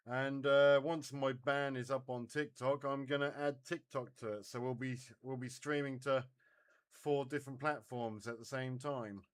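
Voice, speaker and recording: A man hosts an informal radio net with a smooth, clean voice at a middling pitch. He chats casually to operators and stream viewers, feeling his way through sentences in a halting manner. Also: fairly even intonation.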